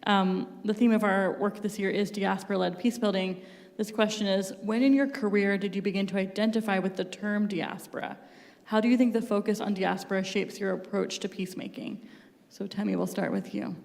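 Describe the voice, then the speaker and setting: A woman's voice: medium pitch, fairly even intonation, a little fast, vocal fry, darkish tone. A woman moderating a panel discussion, putting questions to the speakers. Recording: reverberant hall.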